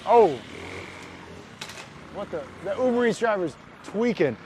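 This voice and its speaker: A man's voice: high voice, lively intonation, unhurried, darkish tone, slight background noise. Slangy man livestreaming on city streets. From New York. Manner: loose, slangy, talks to chat and strangers. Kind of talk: casual street livestream chat.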